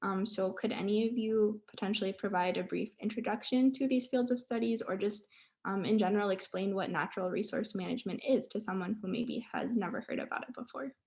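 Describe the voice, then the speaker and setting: Female panel moderator, noticeable vocal fry, medium pitch, informal delivery. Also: fairly even intonation, brisk, darkish tone.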